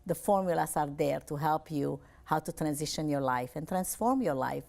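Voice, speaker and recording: A woman from Romania, speaking earnestly and cheerfully as a television interview guest. Her voice sits a little low, and she likes to repeat her phrases. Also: moderate pace, vocal fry, a little mellow. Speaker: guest, life coach.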